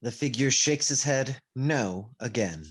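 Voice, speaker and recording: A man's voice: mid-range voice, lively intonation, a little slow, husky. A man reading out stage directions as scripted narration for a play reading. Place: indoors, remote video call.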